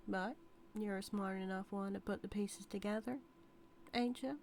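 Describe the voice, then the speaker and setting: An obsessive outlaw cowgirl, played by a woman, speaks at a middle pitch straight to her listener in an audio drama. She is teasing and dominant, with folksy Western phrasing and a sweetly menacing devotion. Some background noise sits behind her. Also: steady tone, moderate pace, darkish tone, close to an everyday voice. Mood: coy, teasing. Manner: drawling folksy Western talk, teasing, calls listener darling. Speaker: audio roleplay performer.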